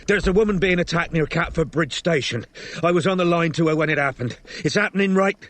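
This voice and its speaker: Man acting a gruff, bellowing, frantic investigator. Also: fairly high pitch, expressive, moderate pace, a bit bright, voice pushed up. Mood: urgent, anxious. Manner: bellowing, urgent, swearing. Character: gruff, frantic, profane male investigator with a bad knee. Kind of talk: audiobook character acting.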